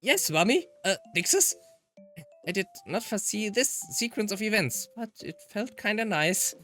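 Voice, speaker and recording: A man's voice: sits high, lively intonation, normal speed, pushed and bright, faint music behind. A man who is a native German speaker voicing a level-headed, insistent young woman in a dramatic visual novel read-aloud. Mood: sheepish, teasing.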